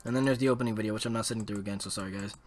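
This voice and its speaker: A man's voice: lowish voice, fairly even intonation, rapid-fire, darkish tone, soft background music. A man doing casual, irreverent commentary on a video game, reading text aloud and skimming past it with filler syllables. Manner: irreverent, skims text with blah-blahs and sing-song filler.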